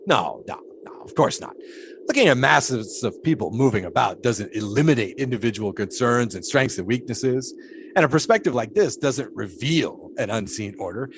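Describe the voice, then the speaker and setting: A man's voice: medium pitch, lively intonation, normal speed, slight background noise, natural voice. Guy doing a grand pompous 1920s flagpole sitter, swoopy voice, radio-drama feel. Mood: lofty. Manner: grandiose, musing, theatrical. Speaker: flagpole sitter being interviewed. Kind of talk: period audio drama acting.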